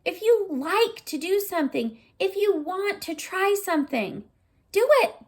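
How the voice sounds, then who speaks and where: Female primary teacher for story time: slow, very lively delivery, savors words, invites listeners to think. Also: very high-pitched. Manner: gentle questioning, prompting listeners to think. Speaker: teacher reading a picture book aloud. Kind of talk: expressive children's read-aloud with asides to listeners.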